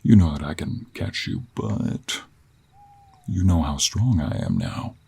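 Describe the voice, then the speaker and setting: A man voicing a confident, sly cowboy monster hunter with a gravelly voice and an American Western accent in a fantasy audio roleplay. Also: rumbling low, very animated, takes it slowly, warm tone, full character voice. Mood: wry, negotiating.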